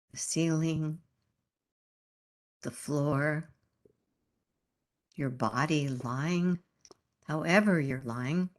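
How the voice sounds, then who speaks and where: A woman leading a guided meditation as a yoga teacher, speaking calmly and gently in unhurried phrases with pauses. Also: deep voice.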